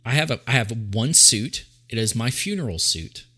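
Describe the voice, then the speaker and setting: Male podcast co-host, light East Tennessee accent, chatty, mid pitch. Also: animated, normal speed, pushed and bright, slightly rough. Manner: chatty, laughs often, lots of fillers. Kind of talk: casual chat.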